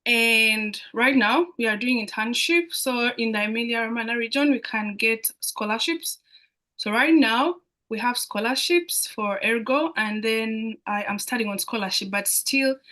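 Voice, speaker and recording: Bright-voiced young Ugandan woman, high-pitched. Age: young adult. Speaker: international student guest speaker on a Q&A. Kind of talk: explanatory talk in a live online Q&A.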